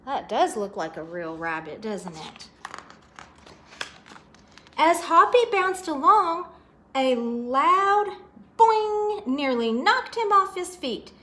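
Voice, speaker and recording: A woman's voice: high voice, big pitch swings, slow pace, long pauses, bright, forceful tone. A woman storyteller reading a picture book aloud expressively to children, adding asides about the pictures.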